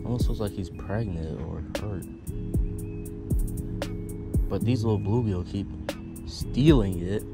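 A man's voice: lowish voice, slow, pauses often. A young man casually talking viewers through a day of pond fishing, filmed on a head-mounted action camera outdoors. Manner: laid-back, talks to the fish and viewers. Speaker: fishing vlogger. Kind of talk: casual first-person fishing commentary. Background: background music.

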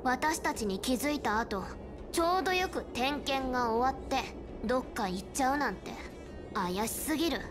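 A woman voicing a blunt, teasing, sharp-witted young girl in a Japanese video game. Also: high-pitched, animated, normal speed, over music. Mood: suspicious.

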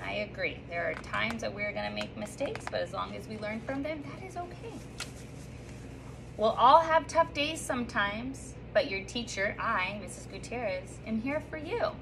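A woman's voice: mid-range voice, moderate pace, creaky, background noise. Upbeat woman teacher reading aloud to kids. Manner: upbeat and sing-song, reading with lively rises and slips. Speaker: third grade teacher. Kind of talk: welcome message and picture book read-aloud to children. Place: classroom reading corner, indoors. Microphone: phone or camera on a stand a few feet away.

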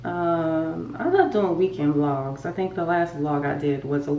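A woman's voice: deep voice, expressive, measured pace, dark tone, distant mic, dull audio, a little hiss or hum. Stuffy-nosed woman chatting in echoey bathroom. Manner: chatty, rambling, talks straight to viewers. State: stuffy nose. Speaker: vlogger. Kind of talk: casual chat to camera. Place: home bathroom. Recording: roomy bathroom echo, voice slightly off-mic.

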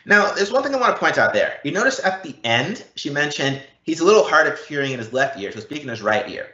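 A man giving a live software demo in a conversational, explanatory way. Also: a little high, big pitch swings, fast talker. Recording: dull lo-fi, roomy web stream audio.

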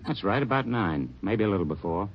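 An American man playing a chatty, defensive hotel manager in an old radio drama, folksy and a bit hesitant, with a husky voice. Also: lowish voice, lively intonation, fairly quick. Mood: uneasy, defensive. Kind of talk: mid-century radio drama acting. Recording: muffled old radio recording.

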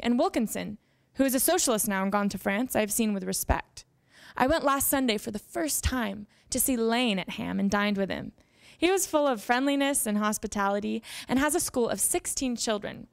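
A young woman giving a measured, formal dramatic reading of letters aloud into a handheld microphone. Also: on the high side, vocal fry, dry recording. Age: young adult. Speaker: dramatic reader at a library event. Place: indoor meeting room with audience.

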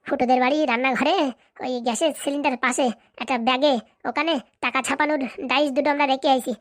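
A woman's voice: high voice, fairly even intonation, fast talker, no room sound, studio quality, natural voice. A woman voicing a blunt, scheming, commanding village madam with a rural Bengali accent in a comedy cartoon. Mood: scheming, demanding. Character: bossy scheming village madam hiring a corrupt policeman. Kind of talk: comic cartoon dialogue.

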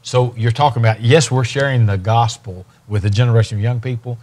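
A man's voice: medium pitch, steady tone, fairly quick, darkish tone. An older man, a ministry leader on a TV studio set, speaking earnestly and repeating key phrases for emphasis. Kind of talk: earnest interview talk.

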